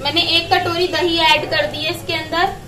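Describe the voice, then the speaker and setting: A woman's voice: very high-pitched, level tone, moderate pace, recorded from a distance, noisy background. A woman explaining a recipe step by step as she cooks in her home kitchen. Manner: step-by-step instructing. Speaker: home cook vlogger. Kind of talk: cooking demonstration.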